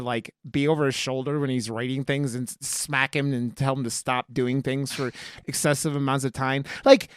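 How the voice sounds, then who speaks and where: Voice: mid-range voice, dry recording, clean studio sound. A podcast host chatting casually about movies in an emphatic, rambling, swear-heavy way. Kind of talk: casual chat, movie discussion.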